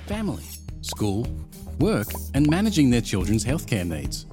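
A man's voice: mid-range voice, wildly swooping pitch, normal speed. A man reading scripted narration for a promotional explainer video. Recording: music bed under narration. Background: music.

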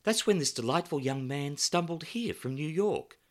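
A man's voice: on the high side, expressive, normal speed, voice pushed up. A man doing a grand, theatrical hostess. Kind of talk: audiobook character voice.

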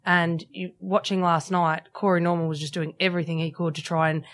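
Brief: woman referee, lowish voice, quiet and hesitant. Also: little pitch movement, a little fast. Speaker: rugby league referee. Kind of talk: casual radio panel chat.

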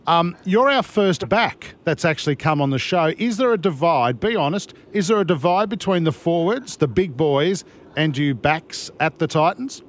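A man's voice: fairly high pitch, lively intonation, moderate pace. A middle-aged man hosting a radio show from the studio, jovial and matey. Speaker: radio host. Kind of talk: casual radio interview.

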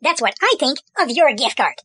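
A woman's voice: sits high, very animated, pushed and bright, breathy edge, dry recording. A woman delivering a sarcastic, biting comedic rant. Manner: sarcastic, biting, fast ranting.

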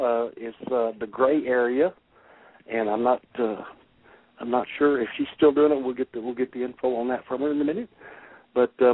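A middle-aged man with a Kentucky accent hosting a radio show in a folksy, halting way. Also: medium pitch, husky. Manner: folksy, halting, laughs often. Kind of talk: casual radio interview. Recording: tinny phone-line radio audio.

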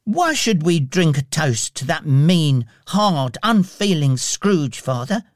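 Man putting on a fragile, high little boy. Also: very animated, slow, dry recording, clean studio sound. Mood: hurt. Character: frail, gentle, earnest little boy.

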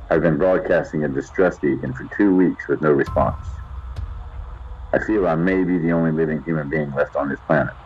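A man playing a lonely, bored survivor in an audio drama, logging his days formally over a radio. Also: a bass voice. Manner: formal radio-log delivery in short statements. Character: lonely, bored male survivor broadcasting from an underground bunker. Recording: muffled hand-held radio sound with noise.

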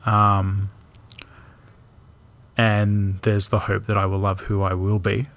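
A young man with a low, gravelly voice talks slowly in a casual podcast chat. He muses aloud, pausing and restarting his thoughts. His speech is thoughtful and searching. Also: completely flat delivery, a bit bright. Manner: thoughtful, hesitant, many ums and restarts. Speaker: podcast co-host.

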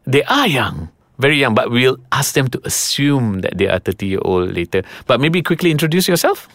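This man speaks with a Malaysian English accent and hosts a casual studio chat. His pitch sits in the middle and the recording is dry and close. He teases his guests and keeps pressing them with follow-up questions. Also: lively intonation, moderate pace, fairly bright tone, no echo. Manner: teasing, asks follow-up questions. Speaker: podcast host.

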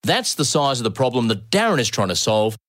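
A man's voice: mid-range voice, very animated, a little fast, fairly bright tone, a little hiss or hum. A man hosting an interview, prompting his guest with curious questions. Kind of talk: podcast interview.

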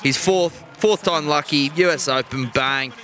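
A man's voice: fairly high pitch, measured pace, sharp tone, slight background noise. A man co-hosting a sports podcast, joking and rambling loosely through his points. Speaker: podcast co-host. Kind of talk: casual sports podcast banter.

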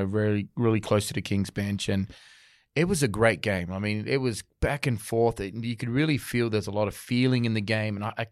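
A man's voice: fairly low pitch, dry recording. A man co-hosting a sports podcast in a casual, enthusiastic, rambling way, on a close mic. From Australia. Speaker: podcast co-host.

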